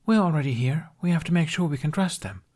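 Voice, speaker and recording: A man voicing a wary, determined young woman with a hushed but firm manner in a story reading. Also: on the high side, expressive, quick pace, pitched above the performer's own voice. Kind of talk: character voice in fan fiction reading.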